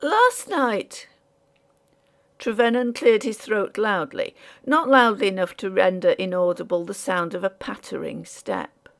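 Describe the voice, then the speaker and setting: Creaky-voiced woman reading a story. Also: medium pitch, lively intonation, normal speed, few pauses. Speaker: audiobook narrator. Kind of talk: scripted story narration.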